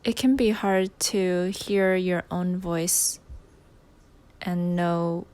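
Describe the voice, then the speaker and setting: A woman leading a guided meditation, giving gentle instructions in short phrases with pauses between them. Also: on the low side, fairly even intonation, clear, resonant tone, no room sound.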